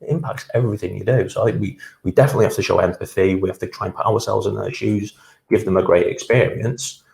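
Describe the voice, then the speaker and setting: A man's voice: on the low side, fairly even intonation, fast talker, gravelly, darkish tone. A man speaking as a panel guest, conversational and emphatic about his views. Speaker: panel guest, talent acquisition manager. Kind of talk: panel discussion. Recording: echoey room.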